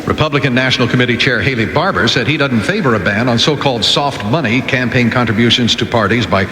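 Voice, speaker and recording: A man's voice: mid-range voice, fast, sharp tone, echoey room, long reverb tail, a little hiss or hum. A man working as a radio newsreader, reading headlines and adding casual asides.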